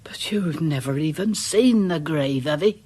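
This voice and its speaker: Breathy, uneasy village charwoman. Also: deep voice, expressive, a little slow, a little mellow, exaggerated voice. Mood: alarmed. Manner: deferential, urgent. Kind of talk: radio drama acting.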